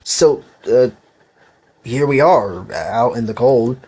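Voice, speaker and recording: A young man with an Italian accent, reading a visual novel aloud on stream, stumbling over words and breaking into loud outbursts. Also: medium pitch, animated, slow pace, slightly rough.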